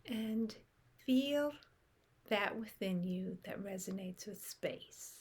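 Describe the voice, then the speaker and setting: A woman guiding a meditation in a soft, unhurried way with long pauses, her breathy voice often trailing off into a whisper. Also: medium pitch. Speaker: meditation guide. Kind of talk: guided meditation.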